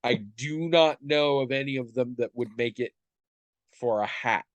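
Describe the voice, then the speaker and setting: A man's voice: medium pitch, moderate pace, dry recording, dull audio. A man in his forties co-hosting a podcast. He is stuffed up and talks in a rambling, self-deprecating way with many restarts. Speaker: podcast co-host. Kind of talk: casual chat.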